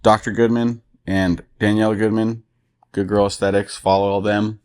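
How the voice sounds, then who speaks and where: A man co-hosting a casual podcast, leading the talk in a relaxed, upbeat way. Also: on the low side, moderate pace, smooth. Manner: relaxed, upbeat, leads the episode. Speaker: podcast co-host. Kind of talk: casual chat.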